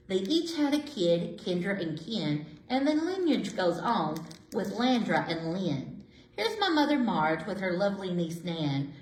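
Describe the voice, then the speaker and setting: A woman voicing a kindly, content elderly woman who gently reminisces and comforts in a rhyming picture book. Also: mid-range voice. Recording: roomy, echoey indoor sound.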